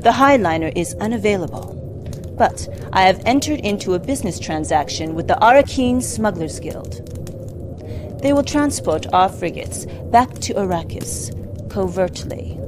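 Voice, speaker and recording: An actress playing a cold, formal adviser in a science fiction game, briefing in a measured, clinical way. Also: female voice, mid-range voice, lively intonation, background noise, lightly acted. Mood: grave, clinical. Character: cold, formal woman adviser of a scheming merchant house. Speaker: mission briefing officer. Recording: music behind the voice.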